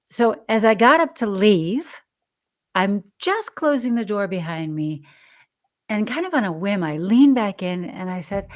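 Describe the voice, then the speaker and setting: Lively American woman telling her story. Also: lowish voice. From United States. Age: older. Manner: animated, laughs often, acts out quoted dialogue. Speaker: podcast guest, actress. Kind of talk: casual interview storytelling.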